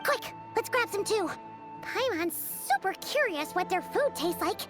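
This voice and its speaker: A woman voicing a small, excitable, chatty female fairy companion in a video game, chirpy and exclamatory. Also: extremely high pitch, measured pace, over music. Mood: excited. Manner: exclamatory, chirpy, speaks of herself in third person. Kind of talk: video game character acting.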